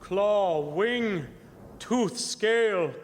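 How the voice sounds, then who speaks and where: Slow, commanding vampire count. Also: male voice, high voice, lively intonation, slight background noise, heavily acted. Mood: urgent summoning. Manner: slow, incantatory, drawn-out words. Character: undead male vampire count, ancient, commanding, seductive, evil. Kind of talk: radio horror drama acting.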